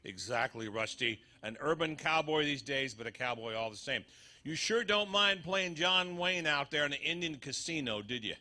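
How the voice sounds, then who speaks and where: A man acts a folksy urban cowboy in a radio comedy. His voice is fairly high and strikingly sharp, and he talks like an old western sidekick, chiding his partner. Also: lively intonation, moderate pace. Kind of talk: live radio comedy sketch acting.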